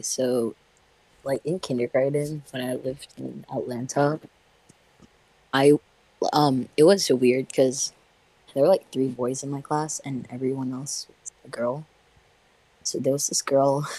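A teenage boy with a husky voice tells an awkward story from his childhood. He starts out hesitant and talks casually throughout. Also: mid-range voice, dark tone, no echo, poor audio.

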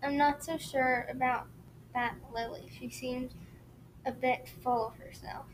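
A woman playing a hurt, nervous schoolgirl best friend who speaks quietly in an audio story. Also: high-pitched, fairly even intonation, normal speed, background noise, lightly acted. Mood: nervous, doubtful. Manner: quiet, wounded. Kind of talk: acted dialogue in an audio story.

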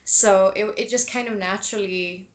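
A woman, a tattoo artist chatting as a guest in a relaxed interview. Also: mid-range voice, normal speed. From Sweden. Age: 20s. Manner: relaxed, laughs often, many fillers. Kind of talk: casual interview.

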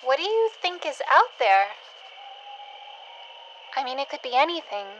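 A curious scavenger woman played by an actress in an audio drama, high-pitched and slow-spoken. She sounds gentle, hesitant and dreamy, with faint ambience behind her. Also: big pitch swings, slight background noise, heavily acted, rough recording. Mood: wistful, dreamy. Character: curious, wistful woman scavenger living by a landfill.

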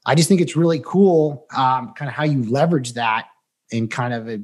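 A man's voice: medium pitch, moderate pace, darkish tone. A man in his thirties co-hosting a casual interview, talking with nostalgic enthusiasm. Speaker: podcast co-host.

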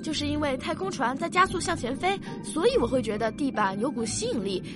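A woman playing a bright, curious child on a spaceship in a sci-fi audio drama, asking questions precisely and excitably. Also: high voice, brisk. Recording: loud music bed behind voice.

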